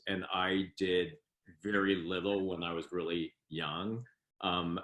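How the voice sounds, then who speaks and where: A man chatting in a relaxed, self-deprecating way as a guest in an interview. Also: on the low side, roomy sound. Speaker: guest athlete. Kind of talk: casual interview chat.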